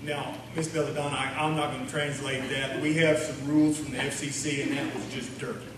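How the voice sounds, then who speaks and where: Man as high-voiced bumbling small-town radio announcer. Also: level tone, a little fast, close to an everyday voice. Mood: flustered. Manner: folksy, bumbling. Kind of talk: comic live radio-show hosting. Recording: echoey noisy hall, distant microphone.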